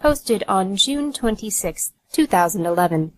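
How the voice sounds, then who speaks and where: A woman's voice: medium pitch, animated, unhurried, low-quality recording. A woman narrating an audiobook from a script in a neutral, announcing manner.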